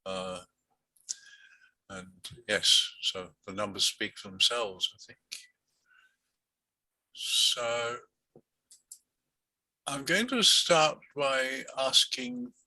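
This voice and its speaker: A man's voice: mid-range voice, extremely slow, long pauses, bright, forceful tone, no echo, low-quality recording. A man hosting an online meeting, walking through statistics and then interviewing a guest, with many fillers and restarts. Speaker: meeting host.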